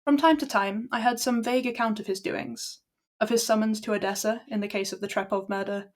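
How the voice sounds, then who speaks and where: A young Irish woman with a croaky voice, chatting casually to her stream and then reading a classic story aloud in a steady, measured way. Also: fairly high pitch. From Ireland. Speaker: livestream book reader.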